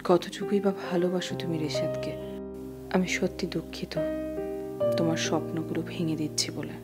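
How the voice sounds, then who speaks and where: An actress as a troubled Bangladeshi woman thinking to herself in a TV drama. Her voice is slightly low, subdued and full of regret, with music clearly beneath it. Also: steady tone, normal speed, close to an everyday voice. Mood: regretful. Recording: music bed under the voice.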